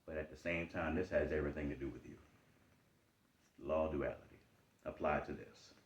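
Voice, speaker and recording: A man with a very low voice and a Charleston Geechee accent gives a motivational talk. He hammers points home with repetition and lively pitch swings. The room rings around him and the sound is a little dull. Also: a little fast, reverberant. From Charleston, South Carolina. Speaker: self-help speaker.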